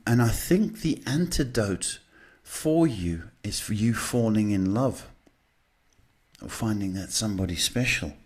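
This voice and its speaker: A man with a fairly low voice gives live psychic advice. He talks casually and directly to the listener, pausing to search for words and often seeking agreement. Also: a little mellow. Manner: halting, repeats "okay" as a check. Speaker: psychic host giving live readings. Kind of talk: live advice to callers, casual spiritual talk.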